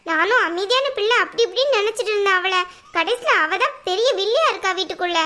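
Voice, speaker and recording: A woman's voice: very high-pitched, lively intonation, fast, exaggerated voice. A woman playing a stern scolding grandmother. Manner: scolding, sharp-tongued.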